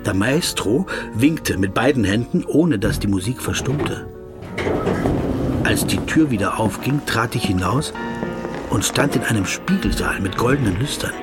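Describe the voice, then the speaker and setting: A stocky, fussy legal counsel telling a strange travel tale in a radio drama. He speaks deliberately and relishes repeated words and sounds. Clear music runs behind the voice. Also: lowish voice, expressive. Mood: wry, musing. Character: stocky, fussy male legal counsel recounting a surreal trip. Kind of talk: literary first-person narration in a radio play.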